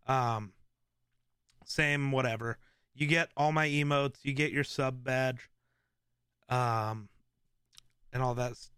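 A man hosting a live stream, chatting casually in a rambling way with lots of fillers and restarts, in a relaxed voice. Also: fairly high pitch, no room sound, studio quality. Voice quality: relaxed, smooth voice. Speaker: streamer host.

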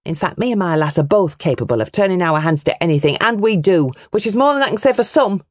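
A woman voicing a proud, sharp-tongued farm mother in her thirties in a storybook. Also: on the low side, expressive, fast talker, dry recording, clean studio sound, pitched above the performer's own voice. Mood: proud, indignant.

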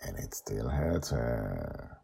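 A man telling a story as host, expressive and emphatic, with dramatic pauses and casual asides. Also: rumbling low, vocal fry, breathy edge.

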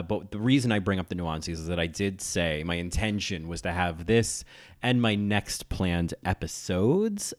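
Guy in his 30s rambling on his podcast, lots of ums, voice a bit on the low side. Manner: chatty and rambling, lots of fillers and restarts. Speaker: podcast host. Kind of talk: casual solo podcast chat.

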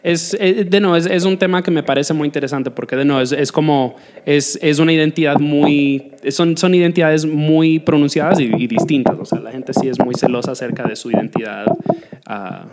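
A male radio host asking slow, searching questions full of fillers in a casual interview. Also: fairly high pitch, darkish tone. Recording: noisy.

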